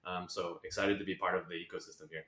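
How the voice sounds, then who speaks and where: A man's voice: sits low, flat delivery, quick pace, bright, forceful tone. A man from Malaysia, a podcast guest, talking casually with lots of fillers and restarts. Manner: rambling, many fillers. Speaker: podcast guest, venture partner. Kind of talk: casual conversation. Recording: echoey room.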